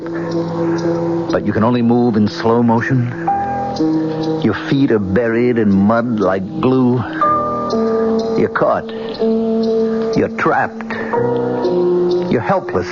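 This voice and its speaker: A man's voice: medium pitch, animated, takes it slowly, pauses often. Anxious, cornered man in old radio drama. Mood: haunted, dread. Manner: anxious, pleading, stammering. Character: desperate, cornered, guilt-ridden man named Mort. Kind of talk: 1970s radio drama acting. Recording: dull old radio broadcast, dramatic music behind.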